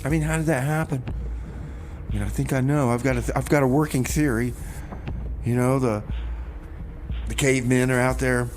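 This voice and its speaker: A man's voice: medium pitch, fairly even intonation, moderate pace, long pauses. Rambling male radio host, faint noise. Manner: rambling, conversational. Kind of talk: casual radio music show chat with comedy bits. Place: radio studio.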